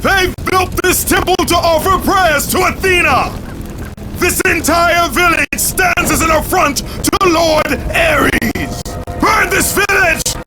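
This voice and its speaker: A man's voice: very high-pitched, takes it slowly, shouting, rough recording. A man playing a brutal, wrathful warrior commander in a video game, bellowing his commands. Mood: furious, commanding. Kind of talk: dramatic video game acting. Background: dramatic music.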